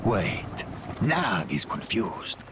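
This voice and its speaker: An actor playing a slow-witted, puzzled male creature in a fantasy game, speaking simply and haltingly. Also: on the low side, sing-song, noisy background, heavily acted.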